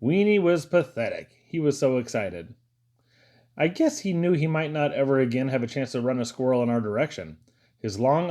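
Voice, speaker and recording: A man's voice: mid-range voice, dark tone. A man reading a first-person story aloud as an audiobook narrator. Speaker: audiobook reader.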